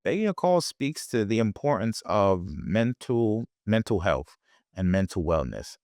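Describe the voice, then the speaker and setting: A man's voice: on the low side, sing-song, unhurried, darkish tone, no echo, studio quality. A man from Jamaica, a guest on a podcast, talking in a reflective way with frequent restarts. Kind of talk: conversational interview.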